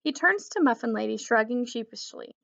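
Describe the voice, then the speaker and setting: A woman reading a children's story aloud in an expressive, deliberate storytelling manner. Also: on the high side, gravelly, lo-fi sound. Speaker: children's book reader.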